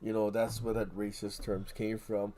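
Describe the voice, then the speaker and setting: A man from Hawaii co-hosting a casual podcast, telling stories in an animated way and swearing freely. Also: lowish voice, moderate pace. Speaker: podcast co-host. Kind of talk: casual chat, storytelling.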